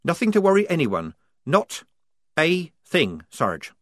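A man reading an audiobook, voicing a quick-witted, tense young woman soldier who talks fast and insistently. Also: fairly high pitch, huge pitch swings, a bit bright, dry recording, clean studio sound, voice pushed up, heavily acted. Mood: hurried. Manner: hurried, insistent. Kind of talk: audiobook character voice.

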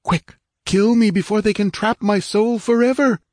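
A man's voice: normal speed, a little mellow, no echo, clean studio sound, lightly acted. A man reading an audiobook, voicing a dying young king whose soul is being dragged away by wizards, pleading and commanding. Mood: urgent commanding. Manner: desperate, pleading, high-pitched strain.

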